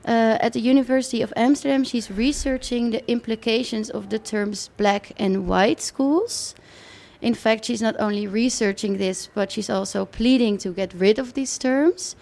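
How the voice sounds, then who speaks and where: A woman's voice: a little high, normal speed, gravelly, a little mellow. A woman hosting a radio interview, asking questions conversationally with frequent fillers and restarts. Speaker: radio host.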